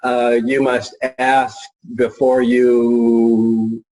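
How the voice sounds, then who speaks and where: A middle-aged man informally presenting as a marketing expert, folksy and halting as he thinks aloud. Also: medium pitch, flat delivery, warm tone, rough recording. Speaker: marketing expert and author presenting his book.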